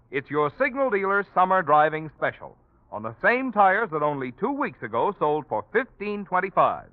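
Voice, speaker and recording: An American male announcer in classic forties radio style. His fairly high voice moves in big lively swings of pitch. The recording is dry and narrow, like an old broadcast. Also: no echo. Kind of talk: 1940s radio sponsor announcement.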